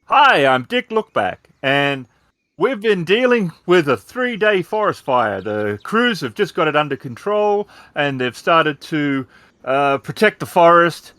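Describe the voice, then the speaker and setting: Man putting on a high-pitched halting TV reporter. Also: sing-song, sharp tone, dry recording, heavily acted. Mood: earnest, hesitant. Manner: halting, hedging delivery. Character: earnest rambling male TV news field reporter. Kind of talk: on-location news report.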